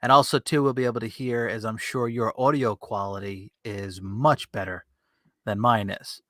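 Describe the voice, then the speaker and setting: A man's voice: lowish voice, fairly even intonation, normal speed, fairly bright tone, dry recording. Chatty man podcasting, dry close sound. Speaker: podcast host. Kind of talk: casual chat, bantering. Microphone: close USB desk microphone on an arm with pop filter.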